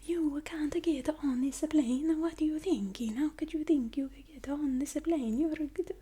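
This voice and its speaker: A woman's voice: sits high, moderate pace, voice pushed up, close to an everyday voice. A woman whispering as a furious Italian airline agent. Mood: scolding. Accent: Italian, put on. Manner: shouting in a whisper, repetitive, scolding. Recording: clear background hiss with clicks.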